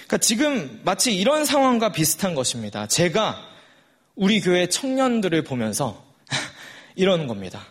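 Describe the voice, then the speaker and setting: A man's voice: high-pitched, huge pitch swings, moderate pace, husky. A forty-year-old man preaching a sermon in a conversational way, putting questions to his listeners. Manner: conversational, puts rhetorical questions to the congregation.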